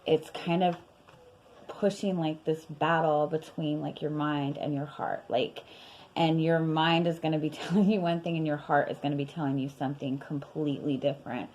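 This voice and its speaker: A young woman reading tarot in a casual, rambling way, her voice worn and going hoarse, with many fillers and soft laughs. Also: low-pitched, steady tone, normal speed, poor audio. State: losing her voice. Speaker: tarot reader.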